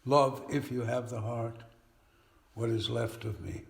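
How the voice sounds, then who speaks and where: A man with a gravelly, creaking voice recites poetry. He takes it very slowly and gravely, with a deliberate, dramatic delivery. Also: medium pitch, steady tone. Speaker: actor reading poetry.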